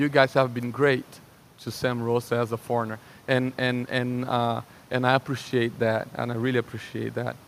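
A young man from Brazil with a Brazilian Portuguese accent, preaching a sermon in a lively, conversational way and often checking in with "right?". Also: fairly high pitch. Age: young adult. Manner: animated and conversational, with frequent restarts and "right?" checks. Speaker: pastor.

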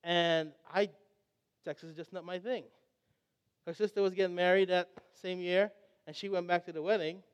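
A man's voice: high-pitched, fairly even intonation, normal speed, pauses often, smooth, in a large hall. A young adult man from Trinidad and Tobago preaching a sermon, earnest and reflective as he tells stories.

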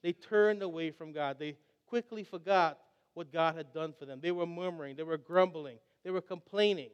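High-voiced man preaching in a hall. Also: normal speed, clear, resonant tone, dull audio. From Trinidad and Tobago. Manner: earnest, reflective storytelling. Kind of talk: sermon.

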